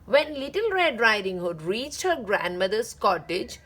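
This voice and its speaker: A woman hosting a read-aloud, narrating a children's story deliberately with drawn-out phrase endings. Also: fairly high pitch, very animated, fairly bright tone. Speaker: read-aloud channel host.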